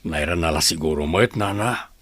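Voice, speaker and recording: A man's voice: a bass voice, moderate pace, fairly bright tone. A man playing a dutiful grown son talking respectfully to his mother in a radio drama. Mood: respectful, concerned. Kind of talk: radio drama acting in conversational dialogue.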